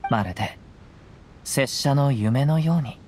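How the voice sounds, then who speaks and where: A man voicing a calm young wandering samurai in a game, speaking in formal old-fashioned phrasing. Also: mid-range voice, slow, a little hiss or hum, close to an everyday voice. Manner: formal archaic speech, gentle and reflective. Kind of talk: game voice acting.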